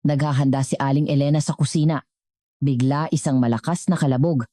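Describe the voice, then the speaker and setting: Very low-voiced Filipino woman storyteller. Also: steady tone, a little fast, mellow, no room sound, clean studio sound. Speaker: story narrator. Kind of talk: scripted dramatic story narration.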